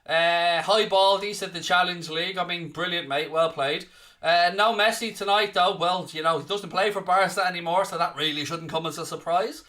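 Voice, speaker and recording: A man's voice: high-pitched, normal speed, sharp tone. An Irish man from southeast Ireland hosting a live football watchalong stream, bantering cheekily with the chat. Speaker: stand-in watchalong host. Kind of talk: casual live-stream chat reading the comments.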